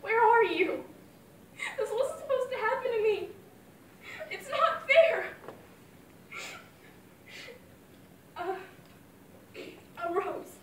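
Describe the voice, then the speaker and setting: A young woman plays a grieving mother speaking to her late father, her high voice carrying heartfelt, wavering feeling. The sound is roomy and echoey, caught from a mic set well back from her. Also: lively intonation, moderate pace, pauses often, fairly bright tone, far from the mic, a little hiss or hum. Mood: anguished. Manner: heartfelt, wavering between fond memories and anguish. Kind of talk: dramatic monologue.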